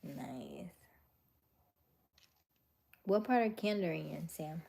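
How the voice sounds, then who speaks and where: A young adult woman hosting a casual livestream, chatting with viewers in a chatty way with frequent laughs and soft, whispery stretches. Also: low voice, expressive, slow pace, vocal fry. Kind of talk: casual live chat reading viewer comments.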